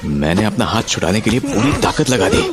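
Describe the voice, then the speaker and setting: A man telling a horror story in the first person, suspensefully, with drawn-out dramatic pauses. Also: on the low side, very animated, very noisy. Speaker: narrator of a horror story, voicing its main character. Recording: music behind the voice. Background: music.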